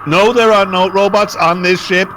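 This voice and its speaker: Higher-voiced quipping man, faint noise. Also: steady tone, moderate pace, fairly bright tone. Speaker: comedy commentator on old radio shows. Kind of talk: joking riff commentary.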